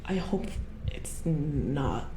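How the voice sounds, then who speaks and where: A woman playing an uneasy robot repair engineer in a soft-spoken horror ASMR roleplay, hesitant and stammering, often dropping to a whisper. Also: deep voice, big pitch swings, extremely slow, heavily acted. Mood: shaken, horrified. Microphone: close ASMR microphone. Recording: roomy echo, light background noise.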